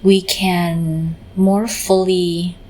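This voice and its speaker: A woman leading a guided meditation in a gentle, unhurried manner, often pausing in the middle of phrases. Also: on the low side, flat delivery. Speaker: meditation teacher.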